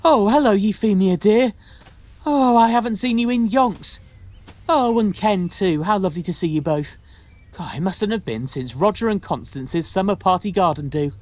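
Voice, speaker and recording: A woman's voice: mid-range voice, lively intonation, moderate pace, darkish tone, close to an everyday voice. A woman playing a cheery, chatty, genteel householder in an audio pantomime, breezy and sociable. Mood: gushing.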